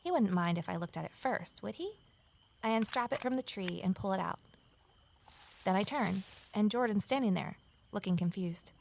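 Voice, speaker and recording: An actress playing a wary, determined amateur sleuth in a naturalistic audio drama, conversational and gently wry. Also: female voice, medium pitch, expressive, moderate pace, gravelly, a little hiss or hum. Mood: confiding narration. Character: Evelyn, wary determined former journalist turned amateur sleuth. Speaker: lead character and first-person narrator.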